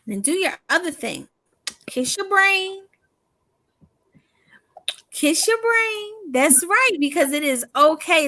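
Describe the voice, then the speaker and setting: A high, bright woman's voice with a sharp, ringing tone. She is a children's author who reads aloud with animated, cheerful emphasis, then chats about her book just as upbeat and motivational. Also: dry recording.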